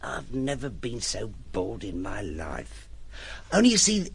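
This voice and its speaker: A man's voice: on the low side, huge pitch swings, unhurried, vocal fry, husky, exaggerated voice. An actor playing a bored, scheming henchman in a radio drama, with blunt, slangy, grumbling talk.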